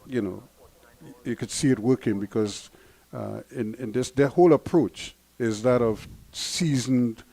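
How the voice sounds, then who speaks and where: Male cricket analyst, Guyanese-leaning accent, mellow dark tone, thoughtful delivery with chuckles. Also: mid-range voice, gravelly, slightly rough, dry recording, poor audio. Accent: Guyanese variation. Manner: measured, analytical, chuckles often. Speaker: cricket commentator. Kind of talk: live cricket commentary.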